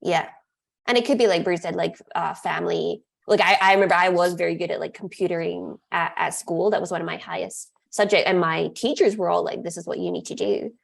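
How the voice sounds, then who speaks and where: A woman's voice: on the low side, fairly even intonation, brisk, creaky. A woman talking casually on a podcast as a co-host, chatty and full of "like" fillers. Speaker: podcast co-host, staff member.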